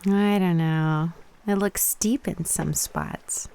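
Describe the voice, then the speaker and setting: Young Japanese woman, radio play, proud, coy, teasing, slightly low voice, dry close sound. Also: fairly even intonation, takes it slowly, warm tone, smooth, no room sound. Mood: curious, coy.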